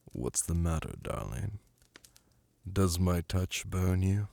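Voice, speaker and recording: A man playing a very deep, gravelly demon prince. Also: lively intonation, slow pace, dark tone, dry recording, full character voice. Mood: seductive, teasing. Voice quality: gravelly, breathy. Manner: languid, purring, imperious endearments. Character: suave, flirtatious demon prince, lordly and teasing. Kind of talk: ASMR roleplay audio drama. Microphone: close, dry microphone.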